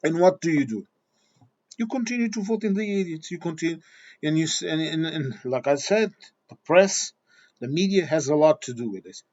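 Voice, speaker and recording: A man's voice: on the high side, expressive, normal speed, darkish tone, highs cut off. Blunt Portuguese-accented man ranting. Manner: blunt, combative, addresses viewers directly. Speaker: video commentator. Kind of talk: ranting monologue.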